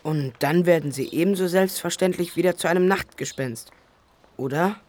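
An actress playing a cocky, adventurous young child in a children's radio play, casual and confident. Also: female voice, fairly low pitch, normal speed, darkish tone, a little hiss or hum, natural voice.

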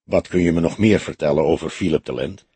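A man voicing a calm, wry old police detective in a measured, dignified audiobook delivery. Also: rumbling low, husky, no room sound, pitched below the performer's own voice, full character voice. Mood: probing. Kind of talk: audiobook character dialogue.

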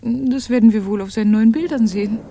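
An actress playing a coy, whimsical young Parisian mademoiselle in a radio crime drama. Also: female voice, on the high side, brisk, gravelly. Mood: wry, composed. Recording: noisy, with rain and thunder.

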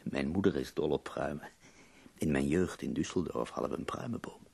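An actor playing an ailing, melancholy but wry poet in a radio play, his voice gravelly, husky and weary. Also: a bass voice, moderate pace, darkish tone, full character voice. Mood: wistful.

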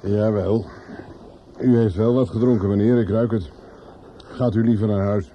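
A man's voice: lowish voice, fairly even intonation, normal speed, close to an everyday voice. An actor playing a weary street policeman in a radio play, firm but patient, talking in a placating, matter-of-fact way. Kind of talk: radio drama acting. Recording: muffled, noisy old radio play recording.

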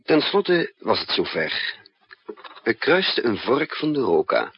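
A man's voice: mid-range voice, sing-song, slow pace, slightly rough, heavily acted. An actor playing a resourceful male spy in a 1960s Dutch radio drama, thinking aloud in hesitant, broken phrases. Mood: reflective narration. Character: resourceful male spy narrating his own risky mission. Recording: muffled, noisy 1960s radio recording.

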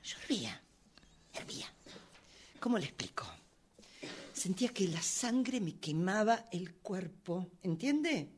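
Hot-tempered woman, acted by a woman, indignant, widely varied pitch, agitated repetitions, radio drama. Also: big pitch swings, slow, pauses often, breathy edge, exaggerated voice. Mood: seething. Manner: agitated, repeats words in bursts. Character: indignant, hot-tempered woman pleading excuses for her outbursts. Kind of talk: radio drama workshop acting.

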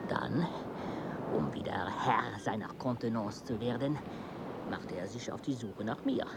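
A man's voice: lowish voice, a little slow, heavy background noise. An actor playing an eager male naturalist who urges his companion on and tells stories in a radio play. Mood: earnest. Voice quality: urging, animated storyteller.

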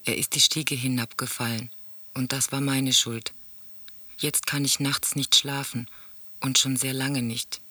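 An actor playing a sombre teller in a radio drama, reciting grim memories and letters in a solemn, measured, husky voice. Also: male voice, medium pitch, flat delivery, sharp tone, no echo. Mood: guilty pleading.

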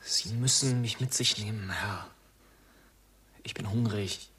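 A young beggar in a radio drama, worn out and hungry. His voice is fairly low and sounds at a distance from the microphone. He pleads haltingly, sometimes close to a whisper. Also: bright, forceful tone. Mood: pleading. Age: young adult. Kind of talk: German radio drama acting.

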